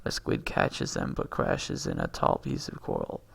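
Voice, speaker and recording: A husky-voiced man reading a film script aloud, stage directions and dialogue alike, stumbling and correcting himself and breaking off into asides. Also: extremely low pitch, wildly swooping pitch, unhurried. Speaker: amateur reader doing a long script read-aloud.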